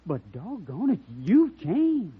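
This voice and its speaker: Bashful young cowboy suitor: very raspy, wildly swooping pitch, stammering folksy drawl, vintage radio western. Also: male voice, wildly swooping pitch, slow, dry recording, full character voice. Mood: earnest, flustered. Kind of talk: old-time radio drama acting. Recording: thin narrowband vintage radio recording.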